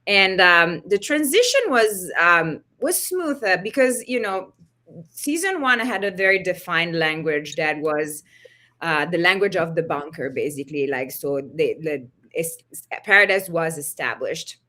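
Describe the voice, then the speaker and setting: A woman's voice: lowish voice, lively intonation, a little slow, bright, forceful tone. A middle-aged woman from Italy, a costume designer chatting casually in an interview over a video call, full of "you know" and "like".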